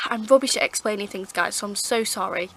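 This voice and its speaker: A woman with a croaky, hoarse throat, rambling chattily as she reviews her favourite books to camera. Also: medium pitch, fairly quick, rough recording. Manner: rambling, chatty, lots of fillers and restarts. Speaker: book vlogger. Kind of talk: casual book review chat. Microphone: camera mic.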